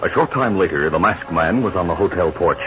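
A male radio narrator tells a western story with dramatic, widely swinging intonation. The vintage recording is dull, with clear music behind him. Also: low-pitched, expressive. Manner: dramatic, deliberate.

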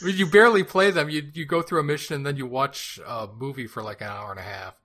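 A man from Canada co-hosting a podcast, joking and wry as he tosses out quick offhand opinions. His voice is bright and forward, at a middle pitch. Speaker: podcast co-host. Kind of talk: casual podcast chat.

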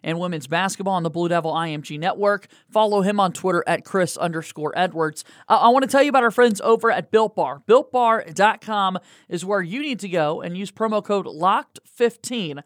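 A man's voice: high voice, a little fast, no echo, studio quality. A man hosting a sports podcast, speaking in a conversational broadcast style. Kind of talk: conversational interview and sponsor reads.

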